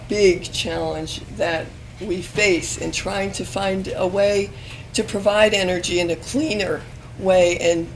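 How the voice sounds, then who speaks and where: A woman in her fifties, hoarse and losing her voice, speaking on an alumni panel at a university event, with many pauses and restarts. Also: fairly low pitch, slight background noise, poor audio. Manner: hesitant, many ums and restarts. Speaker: panel speaker, magazine editor. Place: university event room with audience.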